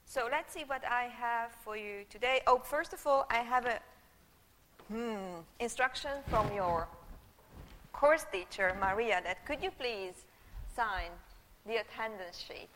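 Bright-voiced Hungarian woman lecturing in a hall. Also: on the high side, lively intonation, measured pace, a little hiss or hum. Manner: chatty, checks in with the audience often. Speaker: university lecturer. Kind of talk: live university lecture. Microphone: room microphone. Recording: long hall reverb.

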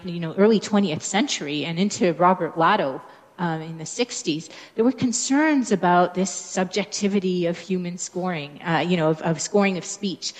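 A Canadian woman speaking on a panel, her voice sitting a little low and carrying a long reverb, as though the room were large. She thinks aloud, pausing on "um" and restarting her sentences. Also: darkish tone. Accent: Canadian English. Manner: thoughtful, many fillers and restarts. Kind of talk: academic panel discussion.

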